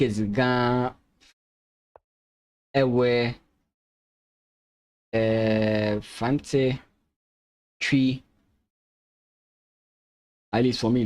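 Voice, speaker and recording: A man's voice: medium pitch, extremely slow, pauses often, a little mellow. A man from Ghana, a native Ga speaker, commentating chess lines on a live stream, thinking aloud with hesitant restarts and easy laughter.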